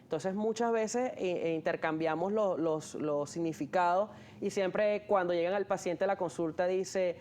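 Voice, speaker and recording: A man's voice: sits high, moderate pace, few pauses, a little mellow, slight background noise. A man, an ear, nose and throat doctor, patiently explaining terms in an interview, often restarting his sentences with fillers. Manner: hesitant, many restarts and fillers.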